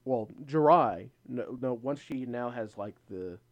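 Halting, informal man in casual chat. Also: medium pitch, animated, dry recording, dull audio. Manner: halting, searching for words, informal. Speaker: podcast co-host. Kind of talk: casual chat, episode recap.

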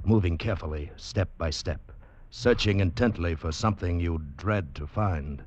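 A man's voice: low-pitched, moderate pace, darkish tone. A man announcing a 1940s-style radio drama in dramatic, suspenseful scripted narration. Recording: muffled old radio recording.

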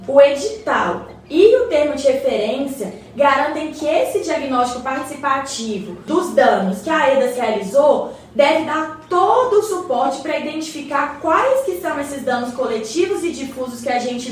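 A woman's voice: sits high, sing-song, unhurried, few pauses. A woman with a Brazilian accent acting a patient, knowledgeable community member in an educational radio play, explaining in a colloquial way. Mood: explaining, encouraging. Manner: didactic, colloquial. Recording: roomy echo, distant mic, faint noise.

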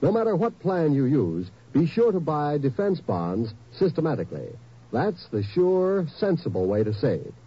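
A man's voice: on the high side, big pitch swings, moderate pace, husky. A male radio announcer giving an earnest, persuasive scripted ad read on a lo-fi 1950s broadcast.

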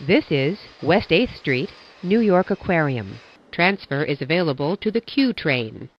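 An automated-sounding subway announcer reading stops and transfers in flat, measured phrasing. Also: mid-range voice, no room sound. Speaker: train announcer. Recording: dull lo-fi audio.

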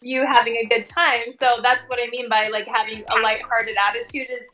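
A woman's voice: a little high, flat delivery, normal speed, sharp tone, soft background music, poor audio. A woman chatting casually as an interview guest, informal and sprinkled with "like" and "you know". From Tongan American. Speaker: interview guest, working fashion and commercial model.

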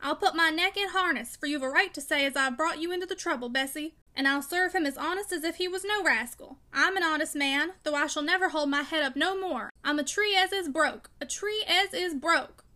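A woman's voice: high-pitched, fairly quick, sharp tone. A woman voicing a fierce, bitter, broken old father with peremptory rustic speech in a storybook reading. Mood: bitter, defeated. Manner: peremptory, emphatic, dialect speech. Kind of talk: audiobook character dialogue.

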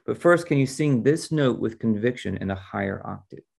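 Man reading as a measured, gravelly wise teacher. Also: medium pitch, animated, a little mellow. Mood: solemn, explanatory. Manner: measured, formal, instructive. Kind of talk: storybook reading.

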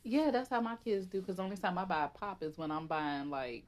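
A woman co-hosting a casual chat show, her voice a touch low and nasal from a stuffy head cold. She tells stories in an animated, chatty way and laughs easily. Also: fairly quick. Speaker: podcast co-host.

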